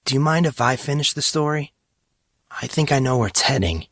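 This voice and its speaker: Male narrator as teenage schoolboy, earnest, deliberate, perceptive, slightly higher pitch, dry story recording. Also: expressive, a bit bright, no room sound. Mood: measured, knowing. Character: teenage schoolboy, perceptive, playful, hurt but kind. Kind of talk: audiobook character dialogue.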